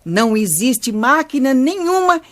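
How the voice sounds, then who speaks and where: A woman's voice: high voice, a little slow, heavily acted. A woman playing a patient, wise old grandmother who explains things to children in a radio play. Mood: enthusiastic, instructive. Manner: didactic, expressive storytelling. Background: music.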